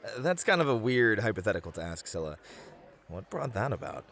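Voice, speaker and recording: A man playing a polite, flirty club host in an ASMR roleplay, courteous and gently teasing, with hesitant pauses. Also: fairly low pitch, very animated, vocal fry, full character voice. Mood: easygoing, teasing.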